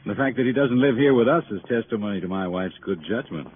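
An actor playing a defensive, cynical, successful son in a 1950s radio drama, glib and sardonic. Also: male voice, on the low side, expressive, fast, lightly acted. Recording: tinny, noisy old radio recording.

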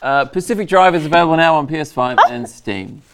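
Deadpan male podcast host in his thirties. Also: a little high, a little fast, slight background noise. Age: 30s. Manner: deadpan, reads out patron names. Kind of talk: casual panel chat.

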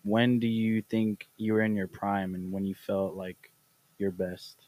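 A man hosting a casual podcast, teasing his guest with quick questions and frequent laughter. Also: fairly low pitch, flat delivery, a little mellow. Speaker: podcast host.

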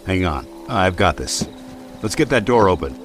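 A man's voice: deep voice, sing-song, normal speed. An actor playing a stalwart, protective military man in an audio drama, earnest and a little stiff. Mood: resolute.

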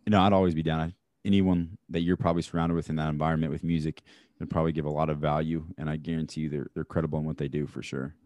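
A man's voice: rumbling low, flat delivery, racing, a little mellow, no room sound. A young man hosting a podcast, chatting casually and enthusiastically with a guest and laughing often. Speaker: podcast host.

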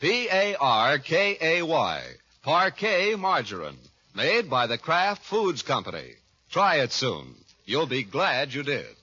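A man's voice: on the high side, very animated, slow, sharp tone, breathy edge. A man working as a 1940s radio announcer, reading adverts and scripted narration with polished, emphatic salesmanship. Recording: dull vintage radio recording.

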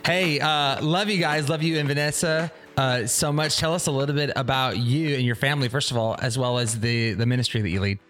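A man's voice: medium pitch, fairly even intonation, brisk, few pauses, a bit bright, soft background music. A man, a pastor hosting an interview in a friendly, enthusiastic, rambling way. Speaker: pastor, podcast host. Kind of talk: casual interview conversation.

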